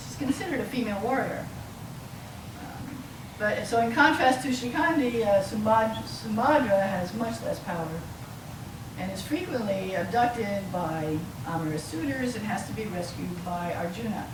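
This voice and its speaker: Female academic lecturer, halting and explanatory, recorded from a distance in a roomy space with clear background noise. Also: fairly high pitch. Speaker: university lecturer. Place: lecture room, mic some way off.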